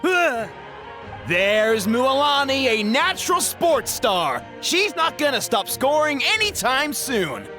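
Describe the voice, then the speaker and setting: A man playing an excitable tournament announcer in a video game, giving theatrical, hyped sports commentary. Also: very high voice, takes it slowly, soft background music, heavily acted.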